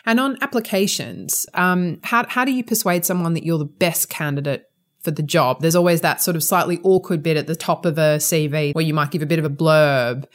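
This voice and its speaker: Australian woman, lowish voice, thoughtful interviewer who restarts her phrases. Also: fairly quick. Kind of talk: conversational interview.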